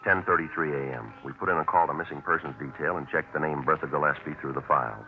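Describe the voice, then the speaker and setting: Very deep, deadpan police sergeant. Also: male voice, fast talker, over music. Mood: matter-of-fact. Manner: deadpan, clipped, terse. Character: businesslike male police sergeant, clipped, patient, matter-of-fact. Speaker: detective and narrator. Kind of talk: 1950s radio crime drama acting. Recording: muffled old radio recording, clear hiss.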